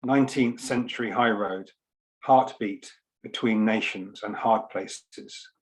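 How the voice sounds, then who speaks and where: Male poet, low register, unhurried and measured, for readings and short introductions. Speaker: poet reading at a book launch. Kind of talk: poetry reading with spoken introduction. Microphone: online video call.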